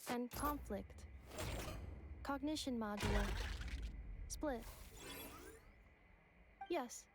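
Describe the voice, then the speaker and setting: A woman's voice: on the high side, a little hiss or hum. A woman playing a polite, literal robot in a video game, speaking in stilted, formal, machine-like phrases. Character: female robot puzzling over memories, polite and literal.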